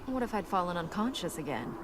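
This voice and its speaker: A woman's voice: fairly low pitch, big pitch swings, fast. A woman playing a determined, stern young warrior princess in a video game, speaking tersely. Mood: stern, dry. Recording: very noisy game ambience behind.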